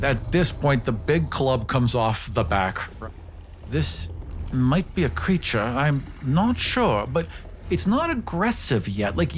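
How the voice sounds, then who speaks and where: A man's voice: fairly high pitch, a little hiss or hum. A man talking casually as a player at a tabletop roleplaying game, describing what his character does.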